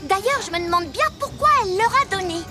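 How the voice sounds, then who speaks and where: An earnest little superhero girl in a cartoon. The voice is very high, spirited and expressive, with clear music underneath. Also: fast. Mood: urgent. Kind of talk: French cartoon dub acting. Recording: music behind the voice.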